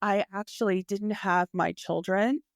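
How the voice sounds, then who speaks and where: A woman's voice: mid-range voice, steady tone, measured pace, dry recording, clean studio sound. A woman in her forties hosting a podcast, giving an earnest, emphatic faith-based motivational talk, speaking intimately to the listener and repeating phrases for stress. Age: 40s. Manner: addresses listener intimately as sis. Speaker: podcast host and dating coach.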